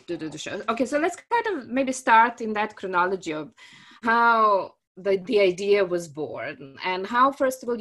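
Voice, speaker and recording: A woman's voice: lowish voice, a bit bright. A woman interviewing theatre makers, asking long, winding questions with fillers. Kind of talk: discussion interview hosting.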